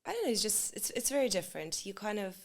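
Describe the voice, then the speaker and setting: A woman actress being interviewed, speaking English in a relaxed, halting way and slipping in Serbian words. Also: medium pitch. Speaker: actress, guest. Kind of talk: casual interview chat.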